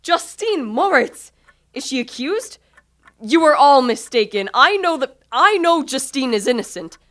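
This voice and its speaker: A woman's voice: high voice, sing-song, measured pace, a bit bright, dry recording, exaggerated voice. A woman playing an anguished, guilt-ridden young man in a radio play, earnest and formally dramatic. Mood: shocked disbelief.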